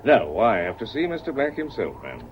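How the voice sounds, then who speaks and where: A man's voice: medium pitch, wildly swooping pitch, moderate pace. An actor playing a polite, persistent, unruffled man calling at a house, in a period radio mystery. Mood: polite, insistent. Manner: courteous, dryly firm. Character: polite, persistent, unruffled male evening caller. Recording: noisy, dull old radio recording.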